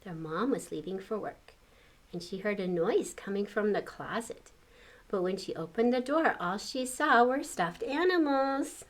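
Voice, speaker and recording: An older woman reading a children's picture book aloud, pausing to comment on the pictures and ask young listeners questions. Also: mid-range voice, lively intonation. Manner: exclaims and chats about the pictures, asks questions. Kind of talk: expressive read-aloud storytelling with asides to young listeners.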